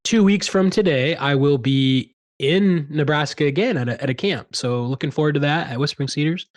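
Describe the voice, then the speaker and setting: A man hosting a podcast, chatting casually in a relaxed way with many pauses and fillers. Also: a little high. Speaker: podcast host. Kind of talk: casual chat.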